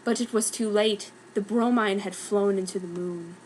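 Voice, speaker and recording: A woman's voice: mid-range voice, steady tone, normal speed, darkish tone, slight background noise, poor audio. A woman giving a dramatic, mock-serious reading of a scripted story as narrator. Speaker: reader of a fan story.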